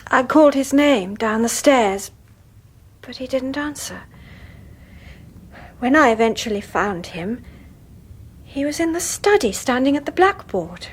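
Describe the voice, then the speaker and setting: An actress playing a haunted young mother in a radio horror drama, speaking hesitantly in a hushed, husky voice. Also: high-pitched, exaggerated voice. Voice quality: breathy, husky. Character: anxious young mother, haunted and unsettled by her husband.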